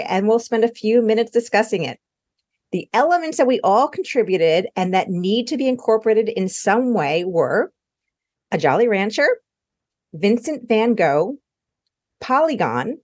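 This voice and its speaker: A woman's voice: mid-range voice, expressive, unhurried, a little mellow, no room sound, dull audio. A woman hosting a podcast, explaining the format in a scripted introduction. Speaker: podcast host.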